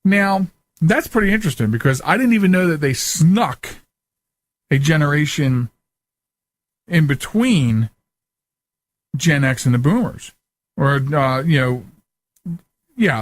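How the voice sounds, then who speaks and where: A middle-aged man hosting a casual radio talk show, speaking informally with loose, halting asides into a close microphone. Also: mid-range voice, dry recording. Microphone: close studio microphone.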